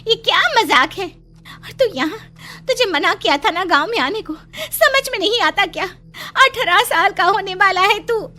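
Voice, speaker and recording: Woman acting a high, bright, fretful village mother. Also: animated, moderate pace, faint music behind, exaggerated voice. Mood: scolding. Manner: dramatic, fretful. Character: fearful, fretting village mother and wife. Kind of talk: Hindi audio story acting.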